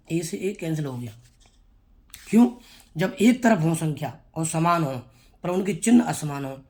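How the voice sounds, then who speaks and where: A man teaching a school math lesson, with a sore throat he keeps clearing. He speaks straight to the children and repeats each step. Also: on the high side, a little mellow. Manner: repetitive, explains step by step, addresses the children directly. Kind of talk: classroom math lesson for students.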